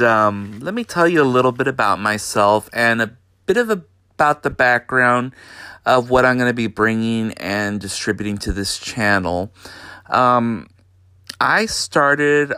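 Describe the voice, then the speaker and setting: A man from Texas, hosting a podcast from his bedroom in an unhurried, hesitant way with lots of fillers. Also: mid-range voice, sharp tone, no echo, clean studio sound. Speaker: podcast host. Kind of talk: casual monologue.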